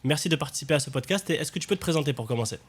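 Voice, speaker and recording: A man hosting a podcast, asking questions in a relaxed, conversational way with frequent fillers and a French accent. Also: on the high side, very fast, husky. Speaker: podcast host. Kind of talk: casual interview questions.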